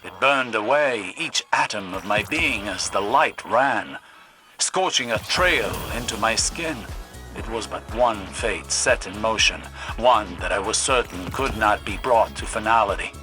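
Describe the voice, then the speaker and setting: An actor playing a solemn, haughty mystic mentor who sees through time in a video game, speaking in formal, measured, grandiose language. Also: male voice, lowish voice, expressive, bright, forceful tone, rough recording. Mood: solemn, haunted. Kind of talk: video game story dialogue. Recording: music bed under the voice. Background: music.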